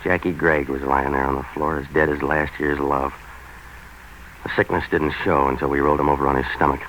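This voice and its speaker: An actor playing a hard-boiled, wisecracking private operator in a deadpan, sardonic, clipped 1940s radio noir style. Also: male voice, very deep voice, brisk. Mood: deadpan, brooding. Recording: noisy vintage 1940s radio recording.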